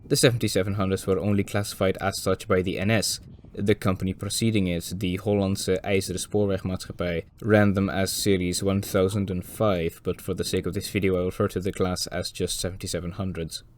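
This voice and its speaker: A man with a slightly hoarse voice from a cold, narrating a scripted railway documentary with dry, wry asides. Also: deep voice, level tone, fairly quick, a little hiss or hum. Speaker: railway video presenter.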